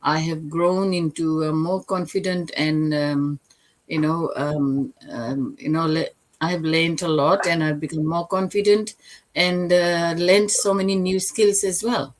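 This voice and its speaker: A woman in her sixties from Fiji, speaking informally as a mentor on an online panel, with hesitant, halting phrasing. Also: deep voice, fairly even intonation. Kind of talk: informal self-introduction in an online session.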